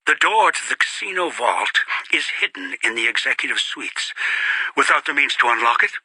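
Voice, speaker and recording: Raspy, sharp-voiced old schemer. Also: male voice, mid-range voice, sing-song, moderate pace, dry recording, exaggerated voice, rough recording. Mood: menacing, scheming. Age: older. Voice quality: raspy, breathy. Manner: contemptuous, commanding, muttering to himself. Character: bitter, greedy, scheming old man commanding captives. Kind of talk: video game character voice acting.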